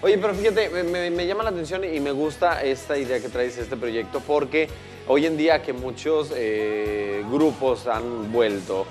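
A man's voice: mid-range voice, unhurried. Mexican male TV host over music. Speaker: TV morning show host. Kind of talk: casual television interviewing. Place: indoor TV studio set.

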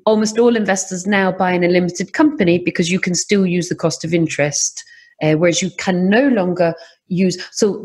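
Husky-voiced woman with an English accent. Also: fairly low pitch. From Scotland. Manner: lively, animated storytelling with laughs. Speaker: property investor guest. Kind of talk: podcast interview.

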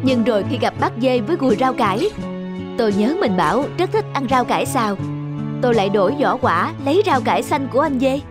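A simple-hearted rabbit husband from a cartoon fairy tale, played by a man. He tells things earnestly and tenderly, full of gentle devotion. Music is clearly heard behind him. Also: extremely high pitch, lively intonation, a little fast. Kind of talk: animated fairy tale acting.

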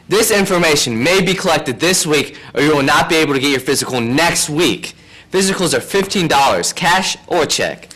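A man's voice: on the high side, poor audio. A young man anchoring a school announcements broadcast from a small studio desk, playful and jokey. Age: young adult. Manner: playful, jokey, animated with big hand gestures. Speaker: student news anchor.

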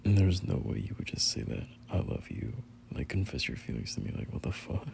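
Guy acting as a bashful teasing young boyfriend, super gravelly, murmured slangy halting talk, bit of background noise. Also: a bass voice, very animated, darkish tone, exaggerated voice. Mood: stunned, unsure. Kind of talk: intimate boyfriend roleplay audio, casual speech.

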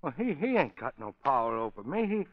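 An actor playing a dim, boastful, childlike baseball pitcher with uneducated tough-guy diction, in 1930s radio style. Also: very animated. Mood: rattled, defensive. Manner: slow-witted, halting. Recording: muffled old 1930s radio recording.

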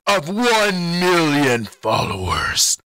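Casting: male actor as menacing anime-parody king, boastful, pompous, slow and swooping, forceful tone. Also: a little high, sing-song, clear, resonant tone, no room sound, exaggerated voice, rough recording. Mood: gloating.

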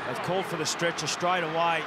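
A man's voice: on the high side, level tone, a little fast. A man giving expert analysis of replays during a live rugby league broadcast. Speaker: expert match commentator. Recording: loud stadium noise.

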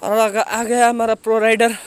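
A man's voice: sits high, flat delivery, normal speed, smooth, dry recording. A young man teasing his friend with playful swearing outdoors on a road trip. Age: young adult. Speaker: vlogger's friend. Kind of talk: casual banter. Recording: outdoor road and traffic noise.

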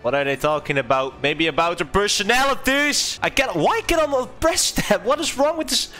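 A young man, his voice bright and somewhat high, talks through his game in loose, casual commentary. He swings up and down in tone, curses freely and reacts with quick amusement, while soft music plays behind him. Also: lively intonation. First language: Dutch. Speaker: streamer.

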